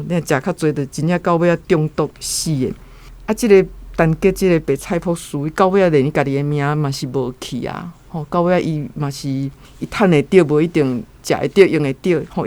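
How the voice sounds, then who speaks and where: A woman guest giving casual spoken thoughts on a story, chatty and relaxed. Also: sits low. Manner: chatty, filler-heavy, relaxed. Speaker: guest reader sharing thoughts.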